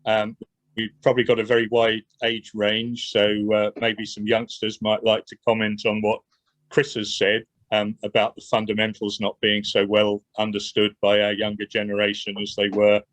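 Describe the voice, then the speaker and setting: Male moderator, measured and halting, dry sound. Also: on the low side, completely flat delivery, fairly bright tone, dry recording, poor audio. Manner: measured, with frequent fillers. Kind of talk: panel moderation.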